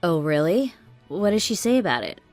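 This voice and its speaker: A woman's voice: fairly low pitch, sing-song, normal speed, darkish tone, dry recording, soft background music. A woman playing an apologetic but indignant working mother in a conversational audio drama. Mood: defensive.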